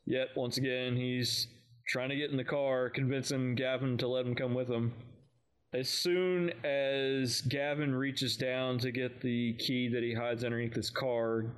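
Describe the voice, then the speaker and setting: Male podcast co-host, mid-pitched, casual recap style, informal and halting delivery. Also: little pitch movement, smooth. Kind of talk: casual recap of an audio drama.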